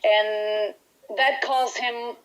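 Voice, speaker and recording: A woman's voice: a little high, steady tone, drawn-out words, dull audio. Chatty Brazilian woman in an interview. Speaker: band musician being interviewed. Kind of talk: casual interview chat.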